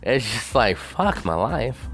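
A man's voice: mid-range voice, very animated, slow pace, fairly bright tone, a little hiss or hum. A man on a casual, rambling rant, swearing constantly and leaning on "you know" fillers.

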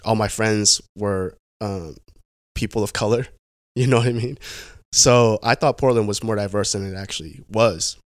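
A young man from the Pacific Northwest of the United States, a guest talking in a casual interview, halting, with many restarts and fillers. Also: fairly low pitch, no room sound, studio quality.

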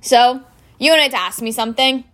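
A woman's voice: high-pitched, normal speed, a bit bright, pitched above the performer's own voice. A woman voicing an earnest, inquisitive young schoolboy in a storybook, with a hesitant, probing manner. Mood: curious.

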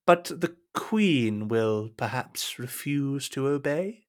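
A man's voice: medium pitch, expressive, slow. A man playing a deferential, scheming cardinal in a period audio drama, speaking with courtly, insinuating politeness. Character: deferential, scheming cardinal serving his king.

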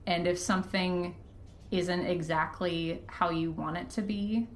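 A woman's voice: lowish voice, steady tone, unhurried. A woman podcast guest talking casually and candidly, giving informal advice with the odd swear word. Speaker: podcast guest, fiction podcast creator. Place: living room. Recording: roomy echo, faint background noise.

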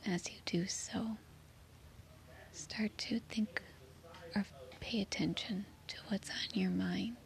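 A woman guiding a children's sleep meditation in a soothing, gently reassuring manner. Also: mid-range voice, fairly even intonation, slow, creaky, long pauses, in a whisper. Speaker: meditation guide.

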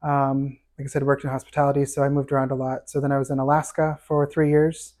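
A man with a fairly high, light voice, chatting as a guest on a podcast and telling stories with many fillers. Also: completely flat delivery, fast talker, a little mellow. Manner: chatty, storytelling with fillers and laughs. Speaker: podcast guest, chorus tenor. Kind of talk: casual interview chat.

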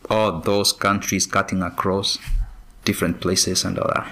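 A man from Kenya, a former professional runner, answering interview questions in a measured way with frequent pauses. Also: deep voice, little pitch movement, sharp tone. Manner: measured, many pauses and ums.

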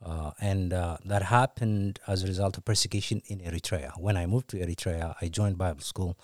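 A man's voice: low voice, a little fast, no echo, clean studio sound. A middle-aged man from Ethiopia, a guest on a church podcast, talking casually with many fillers and frequent laughs. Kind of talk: casual interview chat.

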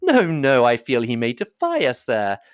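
A man voicing a haughty, scornful young society woman with an arch, affected manner and high swoops. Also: wildly swooping pitch, moderate pace, clean tone, no room sound, clean studio sound. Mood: protesting.

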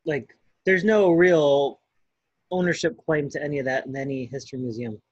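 A man's voice: fairly high pitch, steady tone, moderate pace, slightly rough, muffled recording. A man with a Chicago accent co-hosting a podcast, talking bluntly and with profanity in casual chat. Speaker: podcast co-host.

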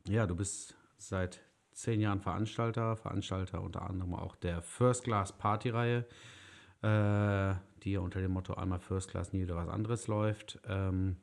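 A man's voice: low voice, fairly even intonation, vocal fry. A man in his thirties hosting a casual podcast, asking questions and slipping in teasing jokes. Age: 30s. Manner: chatty, teasing with quick jokes. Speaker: podcast host.

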